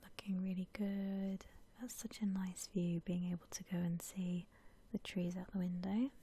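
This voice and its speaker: Woman, breathy unvoiced whisper, dark soft tone, slow pace, gentle musing, ASMR gaming commentary. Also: lowish voice, little pitch movement. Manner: gentle, unhurried, musing aloud. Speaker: host of a gaming ASMR channel.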